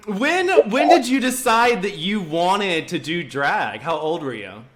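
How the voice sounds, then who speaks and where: A man's voice: sits high, heavy background noise. A man hosting an interview, playful and gushing, with sing-song intros and lots of "like". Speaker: interview host. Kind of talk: casual interview.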